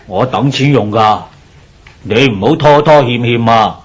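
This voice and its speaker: A man's voice: mid-range voice, a little slow, breathy edge. A man acting an older, pushy creditor in a radio drama, lecturing with sighs in Hong Kong Cantonese. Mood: nagging, warning. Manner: lecturing, sighing, presses his point.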